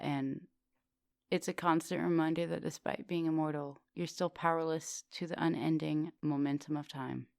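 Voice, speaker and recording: A woman acting as an ancient female vampire professor in an intimate ASMR roleplay. Her voice is very low with a gravelly fry, recorded close and dry. She is composed and formal in her wording, yet teasing and gently commanding, slipping at times toward a whisper. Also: dry recording. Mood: wistful, gentle. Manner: teasing, formal wording, soft dominant, often dropping into whispers.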